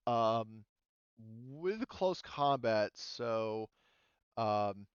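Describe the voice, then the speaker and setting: A man running a tabletop roleplaying game, talking casually through the rules and thinking aloud in a halting way. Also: medium pitch, fairly bright tone, clear, resonant tone, no echo, lo-fi sound. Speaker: game master.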